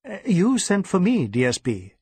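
A nervous Indian police inspector, played by a male audiobook reader. He is meek and hesitant, with a husky, raised voice and an eagerness to please. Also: high voice, wildly swooping pitch, normal speed, dark tone, no echo, voice pushed up, exaggerated voice. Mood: nervous, deferential. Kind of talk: audiobook character acting.

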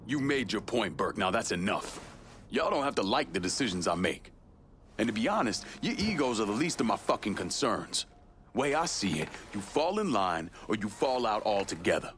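A commanding young crime boss in a game. His voice is lowish, with a stern, firm delivery over faint noise. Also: very animated, normal speed. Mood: cold, commanding. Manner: firm, profane.

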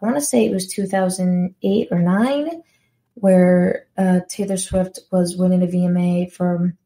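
A woman's voice: lowish voice, steady tone, unhurried, mellow. A young woman hosting a solo music podcast, talking in a chatty, enthusiastic way and often correcting herself mid-sentence. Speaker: podcast host. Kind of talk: casual solo podcast talk.

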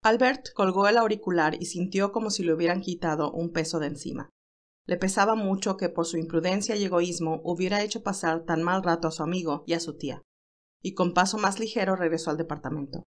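A woman's voice: on the low side, fairly quick. A woman reading a scripted story aloud as an audiobook narrator.

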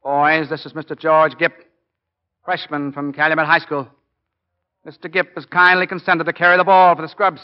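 Brisk, wry football coach played by a man, old radio. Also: on the high side, level tone, close to an everyday voice. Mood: dryly sarcastic. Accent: American. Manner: brisk, wry, barking orders. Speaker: actor in a radio drama. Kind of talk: 1940s radio acting. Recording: dull lo-fi 1940 radio recording.

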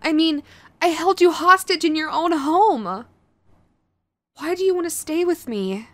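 A woman playing a sweet, clingy yandere girlfriend in an audio roleplay, nervous and halting, gushing and apologetic as she tries to reform. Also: very high-pitched, animated, dry recording. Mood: remorseful, nervous. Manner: halting, gushing, apologetic, swinging between eager and sheepish. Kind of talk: romantic audio roleplay, acted to the listener.

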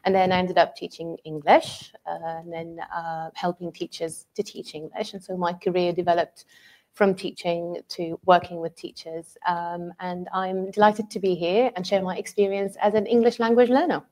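A woman from Syria whose first language is Arabic, a teacher trainer speaking English thoughtfully with frequent uh and um pauses. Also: fairly low pitch, steady tone, a little mellow, low-quality recording. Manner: thoughtful, hesitant, many uh and um pauses. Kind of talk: explaining in a live online session.

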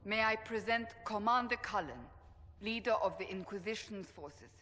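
A woman's voice: medium pitch, moderate pace, a bit bright, natural voice. A woman playing a stern, resolute fantasy warrior in a video game, speaking formally and with firm command. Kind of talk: dramatic fantasy game acting. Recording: long echoing reverb.

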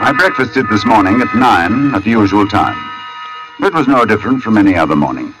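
A haunted artist of about forty played by a male radio actor tells his story in a low voice, precise and foreboding. He speaks formally, with halting, dramatic pauses. It sounds like a vintage suspense broadcast, with music prominent behind him. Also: lively intonation, lightly acted, poor audio. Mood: calm, reflective. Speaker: radio actor narrating in character. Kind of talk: old-time radio suspense drama, first-person monologue.

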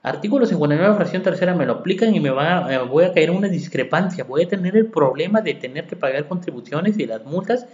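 A man's voice: fairly high pitch, lively intonation, fast talker, few pauses, a little mellow. A Mexican accountant lecturing on tax law, reading legal articles aloud and then explaining them with rhetorical questions to his listeners. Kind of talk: podcast lecture. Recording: echoey room, dull lo-fi sound.

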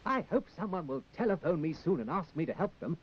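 High-voiced, dithery puppet handyman. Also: big pitch swings, a little fast, husky. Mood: eager, musing. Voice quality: high-pitched. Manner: flustered, hesitant, stammering. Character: dithery, eager-to-help young handyman puppet. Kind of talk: 1960s children's puppet show acting. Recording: dull 1960s television film soundtrack, music.

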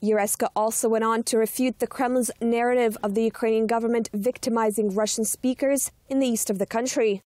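Flat, mellow female newsreader. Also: fairly high pitch, brisk, hardly any pauses, dry recording. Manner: steady, even read. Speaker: news reporter. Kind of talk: scripted news narration.

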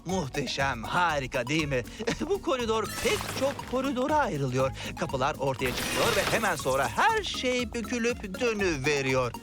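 A woman voicing a gleeful, scheming labyrinth troll in an animated children's cartoon dub, theatrical and gloating. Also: fairly low pitch, normal speed. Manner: theatrical, gloating, sighing and cackling. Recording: loud music under the voice.

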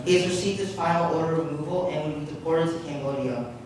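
A man's voice: on the high side, fast talker. A young man reading stage directions aloud as the narrator of a staged play. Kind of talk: scripted narration, read aloud. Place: large public hall, on stage. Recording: echoey hall, distant microphone, clear noise.

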